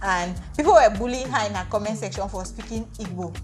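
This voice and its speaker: A woman's voice: medium pitch, quick pace. A young woman from Africa hosting a casual chat, opinionated and talkative, restarting her sentences. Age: young adult. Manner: chatty, opinionated, restarts often. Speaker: vlog host. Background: background music.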